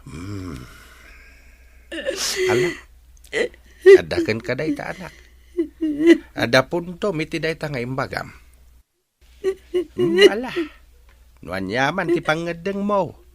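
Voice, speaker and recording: A man playing a kindly older father in a radio drama, giving gentle, measured, sighing counsel. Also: fairly high pitch, wildly swooping pitch, vocal fry, exaggerated voice. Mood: concerned, supportive. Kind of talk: Philippine radio drama acting.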